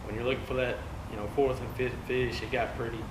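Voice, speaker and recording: A man from East Texas with an East Texas accent, a guest angler talking casually in a laid-back, halting way full of fillers. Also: medium pitch, level tone. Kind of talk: casual chat. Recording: echoey room with loud ambient noise.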